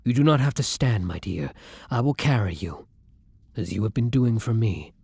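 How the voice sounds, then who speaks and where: A man playing a kind, tormented vampire in an intimate roleplay audio drama, murmuring softly and breathily in formal, old-fashioned phrasing. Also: on the low side, lively intonation, normal speed, creaky, breathy edge, no echo, exaggerated voice. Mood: urgent, resolute. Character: kind, tormented male vampire, tender and protective lover.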